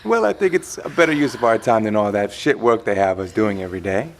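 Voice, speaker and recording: A man's voice: on the low side, lively intonation, fast talker. A man playing a cheeky, flirtatious secret lover in an audio drama, with a playful, casual manner. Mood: playful, teasing.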